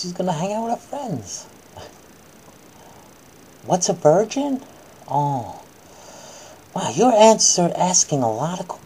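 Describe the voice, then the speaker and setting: A woman's voice: fairly low pitch, warm tone, a little hiss or hum. A woman acting out a bedtime story for children, moving between dramatic reading and coaxing, soothing asides.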